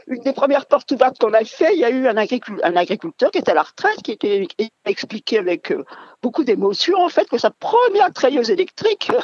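Older woman guest, halting rambling talker, dull dry lo-fi audio. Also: medium pitch, a little mellow, dry recording. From France. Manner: halting, rambling, many fillers. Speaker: mill owner, radio guest. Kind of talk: radio interview.

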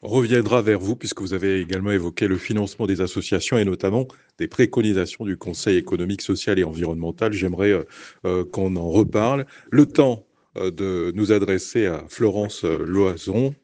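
A man moderates a debate, briskly handing the floor to each guest. His voice is low and dark, and the sound is dry and close. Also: no echo.

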